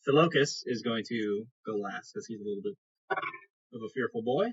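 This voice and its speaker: A man's voice: on the low side, normal speed, breathy edge, muffled recording. A man narrating a tabletop fantasy game as game master, in a casual spoken style.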